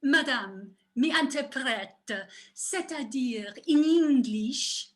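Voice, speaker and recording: A woman's voice: sits high, animated, slow pace. A woman playing a fussy, ceremonious French interpreter with a French accent in a period stage comedy. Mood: ceremonious, insistent. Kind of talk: theatrical period comedy play reading.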